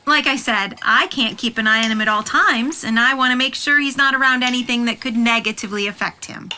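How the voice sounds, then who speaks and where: An actress as a high-voiced, fussing aunt. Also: female voice, a little fast, sharp tone, slight background noise. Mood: probing, concerned. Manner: careful, apologetic, fussing. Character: anxious, overprotective, well-meaning aunt hosting dinner. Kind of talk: audio drama acting.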